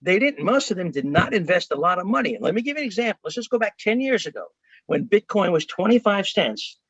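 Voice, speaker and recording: A man with an American accent and a somewhat high voice makes a sales pitch on a call. He is emphatic and deliberate, like a practised salesman. The audio is dry and a little dull, with narrowed bandwidth. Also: very animated, a bit bright, breathy edge, dry recording. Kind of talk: rehearsed sales pitch in conversation.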